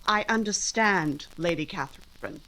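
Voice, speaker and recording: A woman acting a witty, independent young gentlewoman in a 1940s-style radio drama, poised and emphatic. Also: fairly low pitch, a little slow, poor audio. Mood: defiant, poised.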